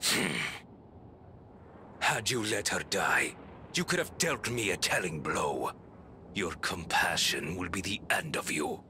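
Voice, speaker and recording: Haughty warrior king, menacingly expressive. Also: low-pitched, a little hiss or hum, heavily acted. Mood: stern. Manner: haughty, taunting, deliberate. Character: proud, cold, menacing warrior king, a usurper. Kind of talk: dramatic fantasy video game acting.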